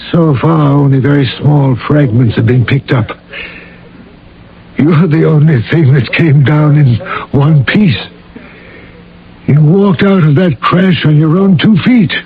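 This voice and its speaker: Concerned official in old radio play, highish voice, slow, tinny sound. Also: male voice. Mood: soothing. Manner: measured, solicitous. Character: grave, concerned government man visiting a patient. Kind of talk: radio drama acting.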